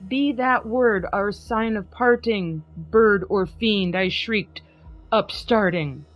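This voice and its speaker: Slow dramatic woman reading poetry. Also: mid-range voice, dry recording, lo-fi sound, soft background music. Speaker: podcast host. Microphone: video call.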